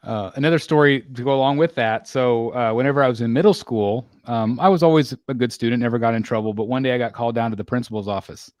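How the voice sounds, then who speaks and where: A man in his forties hosting a casual panel chat, relaxed and full of ums and restarts. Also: mid-range voice, fast talker, few pauses, dry recording. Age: 40s. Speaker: host.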